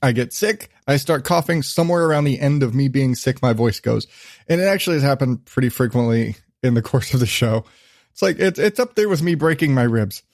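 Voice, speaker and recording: A man's voice: mid-range voice, expressive, a little fast, a little mellow, dry recording, studio quality. A man in his thirties hosting a casual solo podcast, rambling and swearing freely, still getting over a cold with a lingering cough. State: recovering from illness, lingering cough. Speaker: podcast host.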